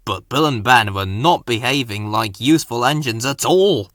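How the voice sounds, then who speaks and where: A man reading a scripted children's story aloud with expressive, dramatic narration. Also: medium pitch, moderate pace, no echo, studio quality. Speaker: storybook reader.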